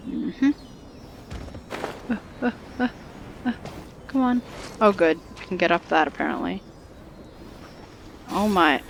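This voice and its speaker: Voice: medium pitch, animated, slow pace, gravelly, long pauses, fairly bright tone, slight background noise. A video game streamer giving casual gameplay commentary in short muttered exclamations, with game music behind.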